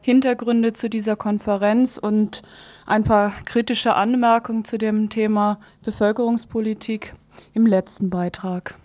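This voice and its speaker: A woman's voice: medium pitch, fairly even intonation, unhurried, a little mellow. A woman radio presenter reading out a programme announcement.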